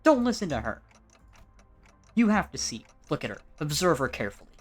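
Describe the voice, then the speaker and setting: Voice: medium pitch, sing-song, a little fast, soft background music, pitched above the performer's own voice. A performer voicing a desperate manager who urgently pleads with a frightened comrade.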